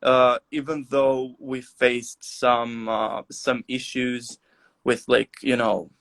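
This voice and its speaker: A young man from Albania, answering interview questions over a video call with many "uh" and "um" pauses. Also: medium pitch, little pitch movement, gravelly, fairly bright tone. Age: young adult. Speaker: guest.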